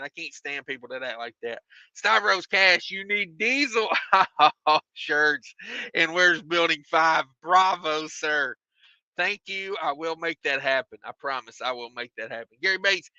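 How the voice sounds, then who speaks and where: A man hosting a trucking livestream, reading viewer comments in a relaxed, rambling way with many fillers and repeated phrases, his voice getting tired and hoarse. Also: fairly high pitch, no echo, dull audio. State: losing his voice.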